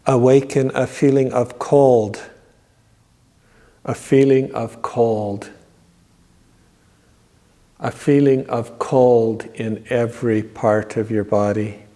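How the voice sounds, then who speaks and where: A man leading a guided yoga nidra meditation in a measured, repetitive, instructing manner. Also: medium pitch, steady tone, dark tone. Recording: long reverberant echo on voice.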